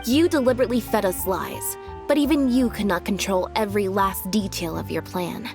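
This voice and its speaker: A woman playing a composed, sharply logical detective in a video game, explaining in a measured way. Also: medium pitch, close to an everyday voice. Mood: accusing. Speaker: detective character. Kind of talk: video game story voice acting. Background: faint music.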